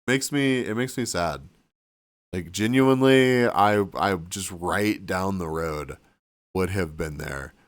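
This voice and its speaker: A man chatting casually with his stream viewers, rambling and restarting sentences, sniffly and a bit hoarse. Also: deep voice, takes it slowly, no echo. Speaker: livestreamer. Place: cabin room.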